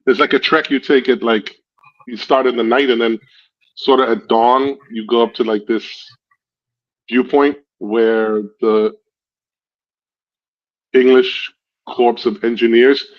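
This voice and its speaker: A man with a Guyanese accent talks casually on a livestream, wandering through stories and breaking into laughter. His voice sits at a middle pitch, and the audio is dull and lo-fi. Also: steady tone, slow, pauses often. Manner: laughs often, rambling storytelling. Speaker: streamer. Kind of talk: casual chat.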